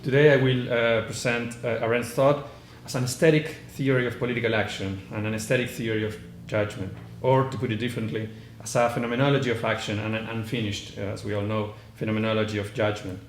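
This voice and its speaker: A man with an Argentine accent delivers a philosophy paper at a conference, his voice sitting a little low. He reads from notes, hesitating often and restarting words. The recording carries the echo of a large room, with a faint hiss of noise underneath. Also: fairly even intonation, fast talker, few pauses. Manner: hesitant, many "uh" fillers and restarts. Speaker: conference panelist. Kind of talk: academic lecture read from notes.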